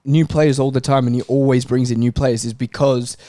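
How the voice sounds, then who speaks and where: A man's voice: medium pitch, steady tone, a little fast, warm tone, no echo. A man talking casually and emphatically as a football podcast panellist, in colloquial language. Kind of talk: casual chat, football debate.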